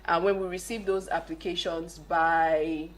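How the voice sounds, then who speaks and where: A woman talks as a radio guest in a slightly low voice. She explains things in a methodical, step-by-step way, in plain conversational interview style. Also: fairly even intonation, unhurried. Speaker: radio guest, competition organiser.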